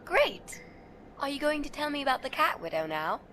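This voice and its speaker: An actress playing a teasing, curious female companion with an animated, cheeky way of talking. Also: high-pitched, moderate pace, slight background noise. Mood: teasing, eager.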